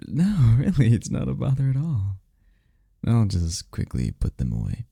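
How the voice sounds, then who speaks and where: A man playing an affectionate, teasing incubus boyfriend in an intimate, softly spoken roleplay ASMR, husky and breathy. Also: dry recording, clean studio sound, exaggerated voice. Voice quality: gravelly, breathy, husky low voice.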